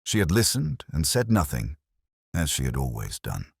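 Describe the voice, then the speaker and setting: A man reading a scripted first-person detective story as narrator, in a measured, solemn, literary manner. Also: very deep voice, wildly swooping pitch, vocal fry, breathy edge, dry recording, clean studio sound.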